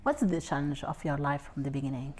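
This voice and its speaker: A woman hosting an interview program, asking her guest questions in halting, simple phrasing. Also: sits low, darkish tone. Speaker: program host.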